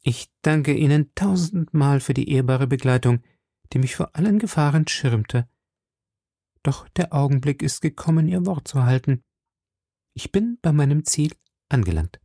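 Performer voicing an urgent young woman, pitched high. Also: animated, normal speed, mellow, no room sound, clean studio sound. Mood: composed, guarded. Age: young adult. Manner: urgent, pleading, formal. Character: earnest, anxious young woman guarding dangerous secrets. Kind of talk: audiobook character dialogue.

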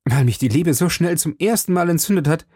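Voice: medium pitch, animated, brisk, warm tone, husky, no echo, clean studio sound, voice pushed up. A narrator playing an ardent, insistent gallant in his late teens, speaking eagerly and formally in an audiobook. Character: ardent, insistent young gallant man, not yet twenty. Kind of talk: audiobook character dialogue.

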